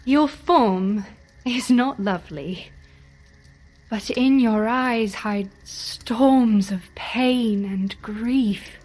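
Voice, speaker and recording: A woman playing a brave, compassionate wife in an audio drama, speaking formally and earnestly in measured phrases. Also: on the high side, a little mellow, a little hiss or hum.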